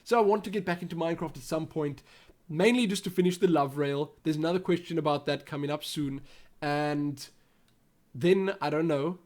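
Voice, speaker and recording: A man's voice: fairly high pitch, moderate pace, darkish tone. A young man from South Africa with a Western Cape accent, hosting a casual gaming Q&A vlog and rambling as he thinks aloud. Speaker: YouTube gaming channel host.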